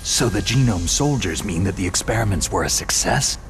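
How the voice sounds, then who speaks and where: An actor playing a gruff, skeptical, battle-worn special forces soldier in a video game, terse, dry and intense, with a gravelly voice. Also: male voice, low-pitched, lively intonation, moderate pace, slight background noise. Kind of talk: video game cutscene acting. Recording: music behind the voice.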